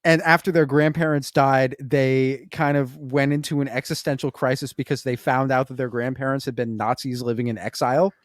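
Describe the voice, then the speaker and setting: A man's voice: medium pitch, flat delivery, fairly quick, hardly any pauses, no room sound, clean studio sound. Laid-back man podcast co-host. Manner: loose, conversational, lots of fillers and casual swearing. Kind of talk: casual podcast discussion.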